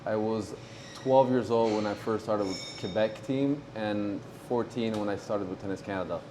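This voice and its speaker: Low-voiced young man in casual chat. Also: noisy background. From Morocco. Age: 20s. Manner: relaxed, halting, many fillers and restarts. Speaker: guest, pro tennis player.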